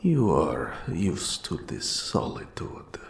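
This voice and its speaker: A man voicing an eldritch mentor of dark magic in a scripted fantasy roleplay, whispering gravely with ominous, formal, archaic speech. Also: wildly swooping pitch, slow, a little hiss or hum, full character voice. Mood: grave, brooding. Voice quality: breathy whisper with gravelly low rasp. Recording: roomy echo on the voice.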